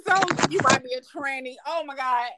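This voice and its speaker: A woman hosting a podcast in a casual, effusive, informal way, quick to laugh. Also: sits high, background noise. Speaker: podcast host.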